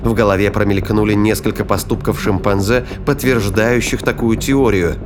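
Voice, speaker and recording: A man's voice: fairly low pitch, fairly even intonation, moderate pace, background noise. A man narrating an audio drama in a dramatic, scripted storytelling style. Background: music.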